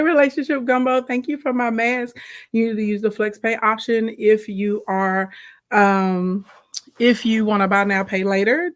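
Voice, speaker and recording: A woman with a slightly raspy voice, hoarse from a sore throat, hosts a live stream as a business coach. She talks in a loose, chatty way and breaks off mid-sentence to greet viewers by name. She sounds like she is speaking into a phone or camera. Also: medium pitch. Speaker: livestream host and business coach. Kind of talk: casual livestream chat.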